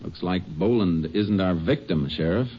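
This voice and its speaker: An actor in a 1950s radio drama playing a methodical Texas Ranger who narrates and leads the case in a steady, matter-of-fact way. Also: male voice, sits low, measured pace, slightly rough. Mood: calm. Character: calm, methodical Texas Ranger lawman, middle-aged. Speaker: narrator and lead. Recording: muffled old radio broadcast with music.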